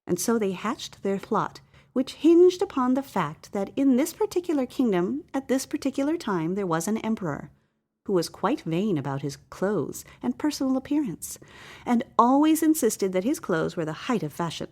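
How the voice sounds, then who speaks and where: A woman reading a satirical parable as scripted storybook narration, with theatrical, deliberate phrasing and stretched emphasis. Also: a little high, very animated, a little mellow. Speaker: story reader.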